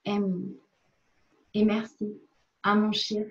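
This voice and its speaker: Woman, personal testimony. Reflective and halting delivery, mid-pitched voice, slightly dull lo-fi sound. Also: completely flat delivery. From France. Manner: reflective, hesitant with frequent pauses. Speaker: member of a religious community giving a testimony. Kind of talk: personal spiritual testimony, spoken to a recording.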